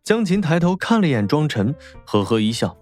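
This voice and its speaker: A man's voice: a little high, big pitch swings, fairly quick, darkish tone. A man narrating a novel as a scripted, dramatic audiobook. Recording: faint music behind.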